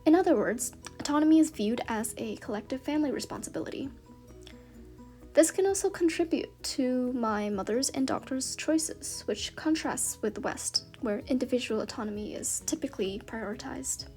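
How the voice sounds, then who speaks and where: A young woman from Canada reads a personal essay in a measured, earnest tone. Her voice sits high but sounds mellow and warm. Faint music plays softly underneath. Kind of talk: read-aloud personal essay.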